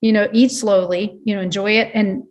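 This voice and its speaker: A woman's voice: mid-range voice, fairly even intonation, a little fast, highs cut off. Woman in her 50s, nutritionist on a podcast, earnest, keeps restarting sentences. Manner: earnest, advising, many restarts. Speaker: sports nutritionist guest. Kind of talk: casual podcast conversation.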